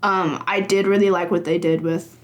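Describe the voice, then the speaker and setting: A young woman talking as a games journalist guest in a casual podcast interview, chatty and animated, laughing often and acting out her own thoughts. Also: on the low side, normal speed.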